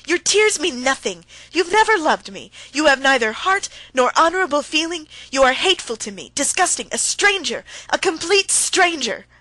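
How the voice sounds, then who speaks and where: A woman's voice: medium pitch, moderate pace, a bit bright, slightly rough, dry recording, poor audio. A woman voicing an anguished, betrayed wife who cries out in rising, heated protest. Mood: furious.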